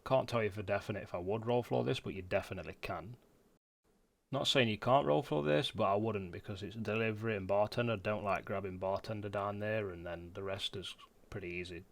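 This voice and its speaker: A man's voice: fairly low pitch, steady tone, a little fast, vocal fry, a bit bright, dry recording. A man giving casual, matter-of-fact commentary for a video game guide in an informal way. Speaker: gamer presenting a guide.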